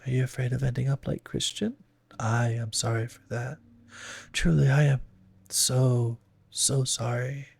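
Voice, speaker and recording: Man voicing a soft, coaxing demon woman of shadow. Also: mid-range voice, flat delivery, unhurried, creaky, slightly rough. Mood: sorrowful taunting. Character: whispering female demon of shadow, softly pleading and taunting.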